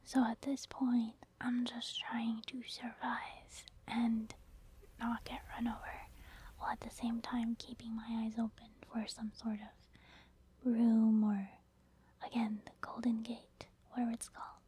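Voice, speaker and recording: A woman doing soft, whispered ASMR commentary over gameplay, chatting informally to her viewers. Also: a little high, monotone, unhurried, breathy edge. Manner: informal, conversational, addressing viewers. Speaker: ASMR gaming creator.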